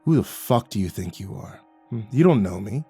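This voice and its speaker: A man voicing a boozy old male war veteran who talks in a low, muttering, menacing way. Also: fairly even intonation, a little slow, a little mellow, soft background music. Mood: snarling.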